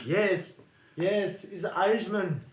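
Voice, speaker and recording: A man's voice: high-pitched, voice pushed up. A man doing a cursing greedy young heir. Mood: grumbling. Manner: loud, slow and theatrical exclaiming. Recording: echoey room with noise.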